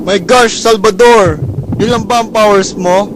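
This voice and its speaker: Male actor as a flamboyant alien conqueror, high voice, mocking and theatrical, noisy amateur take. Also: steady tone, measured pace. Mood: taunting. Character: campy flamboyant male alien invader, boastful. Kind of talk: amateur radio drama acting.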